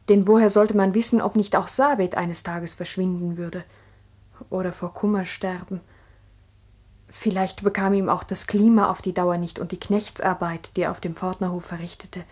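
An actress playing an earnest, ambitious village schoolteacher, narrating reflectively and deliberately in a 1960s radio drama. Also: female voice, fairly low pitch, a little mellow, breathy edge.

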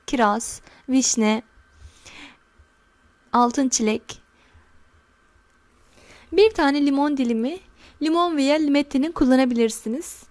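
A high-pitched woman gives a recipe voice-over, talking viewers through each step slowly and carefully. She sounds middle-aged and friendly, calling her audience friends as she explains. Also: darkish tone. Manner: slow, careful instructions. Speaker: home cooking channel host. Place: home kitchen counter.

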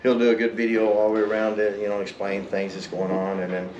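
A man in his fifties with a drawl talks in an easygoing, rambling way, often chuckling. His voice bounces around a big echoey garage. A steady hum of noise sits behind him. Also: lowish voice, fast, dull audio. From Tennessee, US. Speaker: custom interior shop owner. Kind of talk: casual shop tour explanation. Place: large indoor garage workshop. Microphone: host's handheld camera.

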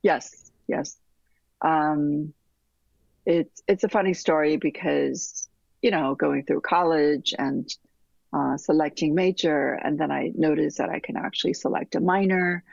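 A middle-aged woman from Taiwan speaks slowly and reflectively, with frequent restarts. Her voice has a gravelly, husky texture. The call audio sounds dry and slightly dull. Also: low voice, no echo. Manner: thoughtful, many restarts and soft trailing fillers. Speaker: architect guest. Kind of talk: reflective interview answers. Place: home room with bookshelves, remote call.

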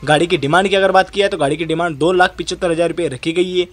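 A man's voice: high voice, steady tone. A man from India vlogging a walkaround of a used car yard under a shed, giving repetitive salesman-style commentary while filming on his phone. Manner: brisk, repetitive salesman patter.

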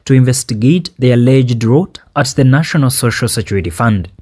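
Ugandan guy narrating a news report, kinda husky lowish voice. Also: expressive, brisk, a little mellow.

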